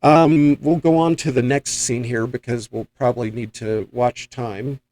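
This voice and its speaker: A man's voice: mid-range voice, fairly even intonation, measured pace, a little mellow. A man hosting a podcast, telling rambling, enthusiastic anecdotes in a casual chat. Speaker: podcast host and paranormal investigator.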